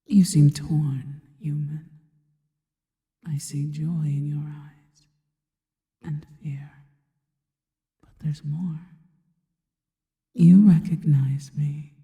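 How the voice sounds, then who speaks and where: A woman playing a seductive, sinister spider-woman in an ASMR roleplay, whispering breathily and slowly with intimate, formal, adoring deliberation. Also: low voice, level tone, dark tone. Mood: tender, longing. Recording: long reverberant echo.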